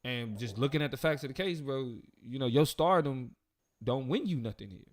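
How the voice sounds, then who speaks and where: A man's voice: medium pitch, expressive, moderate pace. A man co-hosting a casual political chat, talking in a laid-back, slangy way with lots of fillers. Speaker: podcast co-host.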